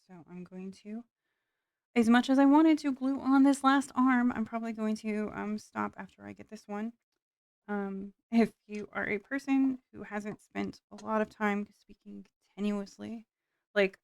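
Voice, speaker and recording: A woman's voice: mid-range voice, clean tone, no room sound, clean studio sound. A woman vlogger chatting casually while building miniatures, her voice tiring from long talking, meandering with lots of fillers. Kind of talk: rambling casual chat while building models.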